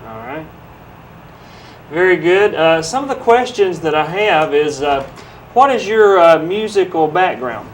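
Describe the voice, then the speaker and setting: Slightly high-voiced male TV interviewer. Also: lively intonation, measured pace, slight background noise. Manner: hesitant, reading questions with fillers. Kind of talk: local TV interview.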